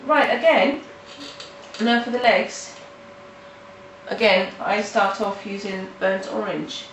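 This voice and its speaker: A woman with a nasal voice from hay fever, talking halting and casual as she walks through a coloring tutorial at home. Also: mid-range voice, fairly bright tone. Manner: halting, with restarts and fillers. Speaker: art tutorial host. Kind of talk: casual step-by-step tutorial narration. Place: home in the countryside. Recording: roomy, mic at a distance, faint noise.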